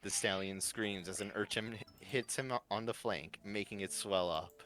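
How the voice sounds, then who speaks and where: A man's voice: on the low side, normal speed, a bit bright, soft background music. A man narrating a fan-written story live, reading from a script with small stumbles and repeats.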